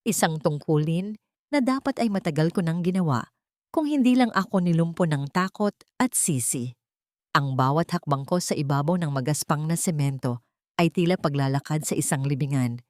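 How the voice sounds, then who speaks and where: A woman's voice: low-pitched, lively intonation, moderate pace, a little mellow, dry recording, clean studio sound. A woman reading a scripted first-person drama as an audiobook narrator.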